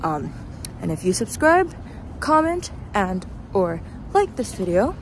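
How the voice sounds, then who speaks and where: A young woman, tired and sleep deprived, chatting casually and haltingly in a rambling, self-deprecating way as she walks with her phone. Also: mid-range voice, very animated. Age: young adult. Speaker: vlogger, student performer. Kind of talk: casual walking vlog, rambling chat. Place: outdoors on a city street at night, walking. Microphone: phone at arm's length. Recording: noisy outdoor street, traffic ambience.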